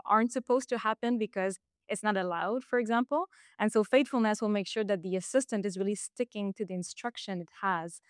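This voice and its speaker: A woman with a Quebec French accent explains her work in English, her first language being French. Her voice is smooth and clear, recorded dry and close. She talks in a casual interview way, pausing on fillers and restarting phrases. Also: mid-range voice, dry recording. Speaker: guest.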